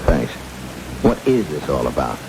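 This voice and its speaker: A man's voice: very deep voice, very animated, measured pace, gravelly, exaggerated voice. A man playing a guarded, stubborn government courier in a vintage radio drama, with a dry, skeptical manner, on an old broadcast. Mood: defiant, dry. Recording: old radio broadcast under heavy rain noise.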